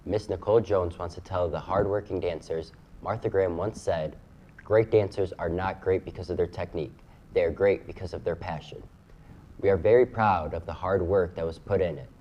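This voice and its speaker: A teenage boy hosting a student news show, reading a steady script. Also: sits low, moderate pace, lo-fi sound.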